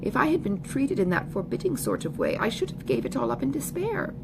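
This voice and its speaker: A woman's voice: fairly high pitch, huge pitch swings, fairly quick, noisy background, voice pushed up. A woman reading an audiobook, voicing a gushing, self-satisfied young woman with falsely sweet, emphatic chatter. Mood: gushing, confiding. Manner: effusive, emphatic, ungrammatical genteel chatter. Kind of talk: audiobook character dialogue.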